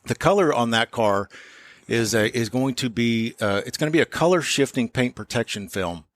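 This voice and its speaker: A man explaining car work in a casual, matter-of-fact way as an interviewed shop owner, pausing and restarting as he goes. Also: medium pitch, lively intonation, dry recording, clean studio sound.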